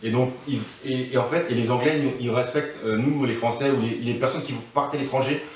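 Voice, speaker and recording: A man's voice: medium pitch, steady tone, fast talker, a little hiss or hum. A man in his thirties giving casual advice as an interview guest, thoughtful and full of restarts. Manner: thoughtful, many restarts and fillers. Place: living room, on a couch. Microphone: far camera mic. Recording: echoey room, from a distance.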